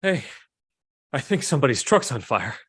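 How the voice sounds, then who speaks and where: A man acting a guarded, awkward young romantic lead in an understated, deadpan way at a table read. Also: mid-range voice, normal speed, slightly rough, no room sound, studio quality.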